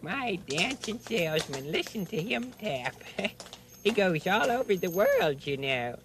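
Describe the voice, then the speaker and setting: Good-natured male puppet, high for a man, wildly varied intonation, hesitant, folksy, noisy children's television recording. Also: sing-song, a little slow, full character voice. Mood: mild, unsure. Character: mild, folksy, good-natured fellow puppet, a rocket maker.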